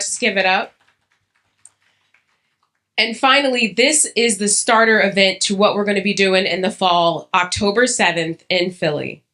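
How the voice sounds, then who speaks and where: A woman hosting a business conference, an upbeat, energetic emcee hyping up the crowd. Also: medium pitch. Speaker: event host. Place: conference hall.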